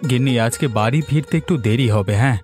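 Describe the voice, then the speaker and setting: A man acts a dutiful village farmer for a cartoon tale. He sounds mild and obliging, with a mid-pitched, homely delivery and faint music underneath. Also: fast talker, a little mellow, close to an everyday voice. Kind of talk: Bengali cartoon story dialogue.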